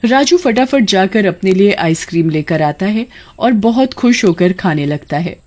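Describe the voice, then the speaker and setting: A woman's voice: medium pitch, expressive, normal speed, darkish tone. A woman narrating a scripted moral tale as a storyteller.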